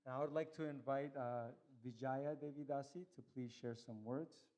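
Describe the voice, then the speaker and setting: A man's voice: medium pitch, steady tone, moderate pace, darkish tone. A man hosting a temple celebration, earnestly thanking and introducing speakers with frequent "uh"s. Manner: earnest, grateful, filled with "uh". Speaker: event host. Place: temple hall.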